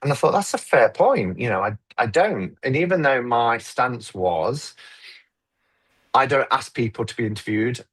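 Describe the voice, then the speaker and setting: A man's voice: medium pitch, lively intonation, normal speed, a bit bright, breathy edge. A man who makes tarot videos, talking reflectively on a live stream and often restarting his sentences. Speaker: tarot YouTuber. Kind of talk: casual conversation on a live stream.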